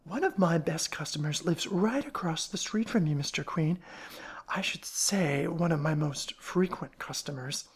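A woman's voice: sits low, expressive, moderate pace, husky. A woman voicing an eager, gossipy young female shop clerk in a storybook reading, chatty and confiding.

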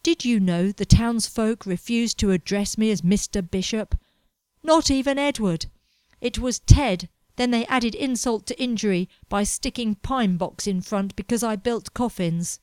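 A woman voicing a pompous, melodramatic young man chasing gold, complaining loudly about everything around him. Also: fairly high pitch, measured pace, no room sound, lightly acted. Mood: indignant. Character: anxious, pompous young male fortune hunter.

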